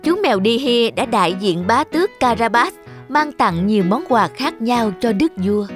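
Casting: female storybook narrator, fairly high voice, scripted children's fairy tale with music underneath. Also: expressive, moderate pace.

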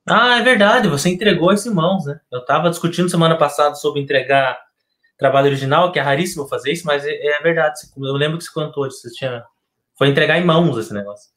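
A man's voice: on the high side, fast talker. A man in his thirties hosting a live interview from his home studio, joking and calling out to viewers. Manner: joking, lively, addressing viewers. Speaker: interview host. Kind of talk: casual live-stream interview.

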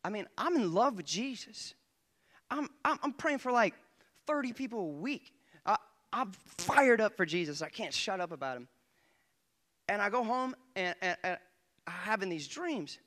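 A young man, a guest preacher, giving an excitable testimony in church on a handheld mic, often laughing and swinging between quiet asides and loud bursts. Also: sits high, normal speed. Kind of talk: testimony sermon. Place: church stage before a congregation. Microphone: handheld microphone.

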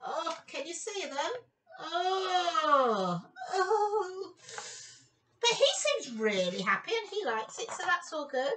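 A woman in her fifties giving an expressive storytime read-aloud for young children, with exclamations and chatty asides. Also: very high voice, slow, distant mic, a little hiss or hum, rough recording. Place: small room at home, indoors.

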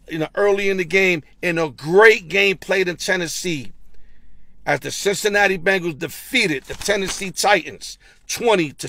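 A middle-aged man talking football straight into a phone from inside his car. His voice sits high for a man and has a rough, gravelly edge. The sound is close and dry, and he rattles off stats bluntly, stumbling over his words now and then. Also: a bit bright, no room sound. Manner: blunt, rapid stat-reading with slang and stammered repeats. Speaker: sports betting show host. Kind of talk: casual NFL recap and picks. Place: parked car, indoors. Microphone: phone held at arm's length.

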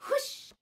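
A middle-aged woman reads a picture book aloud to small children in an expressive, playful way, recorded indoors by a camera a short distance in front of her. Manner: animated, slow, with playful sound words and exclamations. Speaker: storyteller and channel host. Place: small furnished room indoors.

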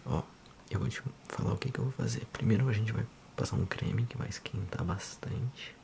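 A man in his thirties with a Brazilian accent, speaking softly and unhurriedly in an ASMR role-play as a physiotherapist addressing the listener. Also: on the low side, creaky, background noise. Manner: soft, unhurried, hushed.

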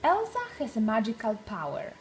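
A woman reading a storybook aloud for young children, with deliberate, expressive phrasing. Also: on the high side, music in the background.

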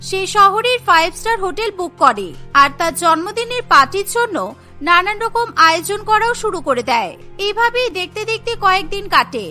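Cartoon narrator: woman, high and bright, clear even telling. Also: a little fast, faint music behind. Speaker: narrator and lead voice actor.

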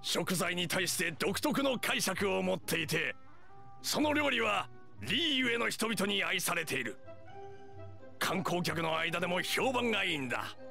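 An actor playing a stern, direct hunter chief in an anime game, blunt and firm. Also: male voice, sits high, expressive, unhurried, vocal fry, heavily acted. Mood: earnest persuasion. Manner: blunt, firm, matter-of-fact. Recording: studio voice over soft music.

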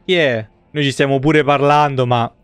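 Crisp-voiced man streaming games. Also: medium pitch, steady tone, a little slow, fairly bright tone. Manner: informal, joking, swears freely. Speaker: game streamer. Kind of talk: casual live gaming commentary. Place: indoor home streaming setup.

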